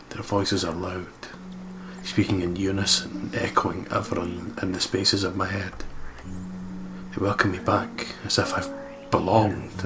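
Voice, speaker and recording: A man's voice: low voice, creaky, noisy background. A man reading first-person horror narration aloud dramatically as a let's play host, with game music behind him. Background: prominent game music.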